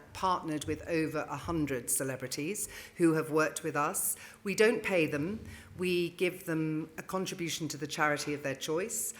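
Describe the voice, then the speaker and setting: A woman's voice: deep voice, a bit bright, a little hiss or hum. A middle-aged woman speaking as a brand adviser on a conference panel, self-assured, telling a story in long flowing sentences into a handheld microphone. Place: conference stage.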